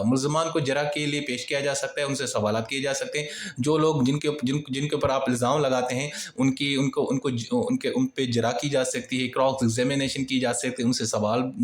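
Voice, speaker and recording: A man with a Pakistani accent giving an informal exam-prep lecture, explaining point by point in a conversational way with everyday examples. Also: mid-range voice. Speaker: law lecturer. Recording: echoey, reverberant room sound.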